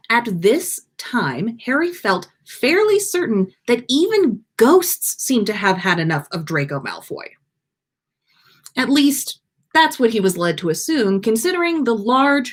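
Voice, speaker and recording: A woman hosting a livestream in a chatty, playful, theatrical way as she reads stories aloud. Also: medium pitch. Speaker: podcast host reading fan fiction aloud. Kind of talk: chatty livestream and animated story reading.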